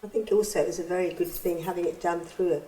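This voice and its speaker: Mellow woman, chatty tag questions. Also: lowish voice, steady tone, fast talker. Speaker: refugee host. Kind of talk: casual conversation. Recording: roomy echo.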